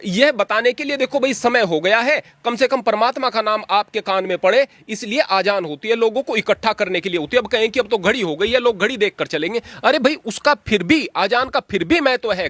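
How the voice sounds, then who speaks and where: A man's voice: very high-pitched, animated, fairly quick, hardly any pauses, a bit bright, no echo. A man giving his opinions in an interview like a preacher, emphatic and exhorting, repeating phrases for stress.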